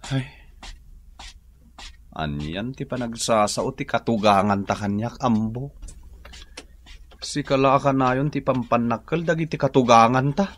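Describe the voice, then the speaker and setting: A man's voice: medium pitch, unhurried, long pauses, close to an everyday voice. A man with an Ilocano accent playing an irresponsible, resentful husband who complains slyly in a radio drama.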